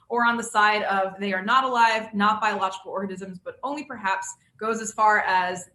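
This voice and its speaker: A woman moderating an informal online panel discussion, speaking haltingly as she thinks aloud. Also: mid-range voice, sharp tone. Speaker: panel moderator on a science committee. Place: indoor room, online video call. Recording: roomy, echoey video call.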